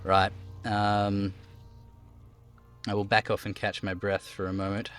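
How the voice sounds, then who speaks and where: A man's voice: fairly low pitch, monotone, unhurried, a bit bright, smooth, soft background music. A man reading a game aloud as a live streamer, narrating the text and dropping in casual, sweary asides. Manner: sweary, self-commenting.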